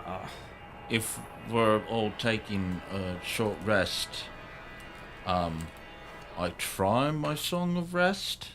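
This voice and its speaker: A man playing a tabletop game, talking casually in a hesitant, halting way. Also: lowish voice, lively intonation. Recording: music behind the voice.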